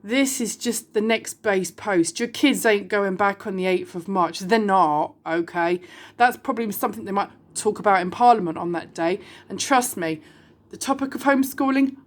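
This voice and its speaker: A woman's voice: medium pitch, normal speed. A British woman in her forties, from the UK, speaking bluntly to her viewers in a candid monologue, full of rhetorical questions and the odd swear word. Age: 40s. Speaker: vlogger.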